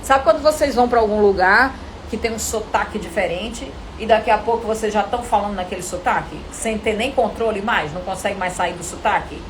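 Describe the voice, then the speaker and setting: A woman with a Brazilian Portuguese accent coaching actors in an informal live lesson, animated and didactic, often asking questions and answering them herself. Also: medium pitch, fairly quick, noisy background. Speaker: acting coach teaching a live online class.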